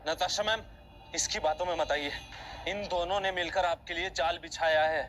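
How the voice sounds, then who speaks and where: A man voicing an agitated, loyal young henchman in an audio drama, speaking with urgent, quick pleading. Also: a little high, fairly even intonation, fairly bright tone, a little hiss or hum, lightly acted. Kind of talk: Hindi audio drama acting. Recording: background music bed.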